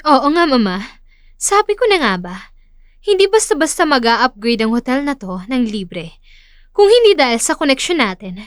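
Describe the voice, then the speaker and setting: A woman's voice: high voice, sing-song, moderate pace, no room sound, clean studio sound. A woman playing a spoiled, self-satisfied, ambitious young daughter in an audio drama. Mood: smug.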